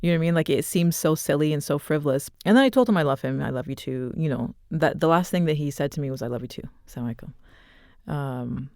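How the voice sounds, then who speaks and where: Woman in her thirties, interview guest, gentle and halting, dry close sound. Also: deep voice, dry recording. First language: not Arabic. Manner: soft, reflective, halting with many fillers. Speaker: author and podcast guest. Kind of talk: personal story in an interview.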